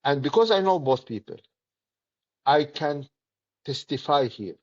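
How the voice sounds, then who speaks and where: A middle-aged man with a Palestinian Arabic accent, speaking earnestly and deliberately in short, emphatic phrases as an interview guest. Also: on the high side, lively intonation, dull audio. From Palestinian territories. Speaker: political activist, interview guest.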